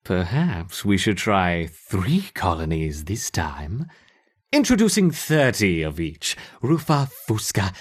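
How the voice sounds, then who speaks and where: Husky, gleefully sadistic scientist. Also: male voice, sits low, heavily acted. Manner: theatrical, savoring, mock-innocent. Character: gleefully sadistic male scientist running ant experiments. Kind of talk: video game character acting.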